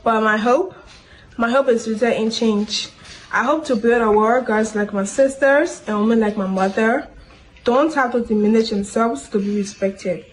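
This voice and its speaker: A young woman from Liberia gives an earnest, measured personal statement. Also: a little high, a little hiss or hum, low-quality recording. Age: young adult. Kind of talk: short recorded personal statement.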